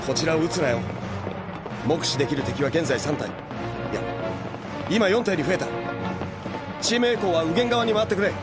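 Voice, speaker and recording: A man playing a stern, deadpan teenage soldier who gives clipped military commands in an anime audio drama. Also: fairly high pitch, moderate pace, pauses often, over loud music, close to an everyday voice. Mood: urgent, commanding.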